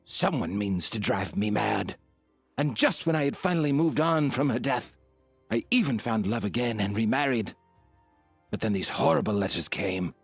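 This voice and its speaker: An actor playing an anxious, haunted lord of an estate in dramatic game dialogue, fretful and theatrical. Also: male voice, medium pitch, slightly rough, no echo.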